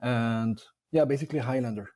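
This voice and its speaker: A man from Colombia, a dairy nutrition scientist talking about his career in a casual interview, pausing often to rethink his words. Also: medium pitch, dark tone. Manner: thoughtful, with frequent fillers and restarts.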